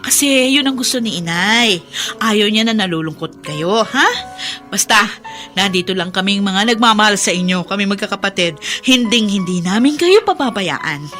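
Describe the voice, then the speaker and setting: An earnest, devoted Filipino daughter. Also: female voice, medium pitch, expressive, moderate pace, pushed and bright, soft background music. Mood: reassuring. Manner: earnest, emotional, reassuring. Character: devoted, tender, earnest grown daughter of an aging father. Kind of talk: radio drama acting.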